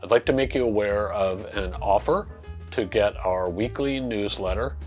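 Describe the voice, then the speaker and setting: A man's voice: on the low side, moderate pace, faint music behind. A man, a magazine publisher, delivering a scripted promotional announcement to viewers. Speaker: magazine publisher, channel presenter.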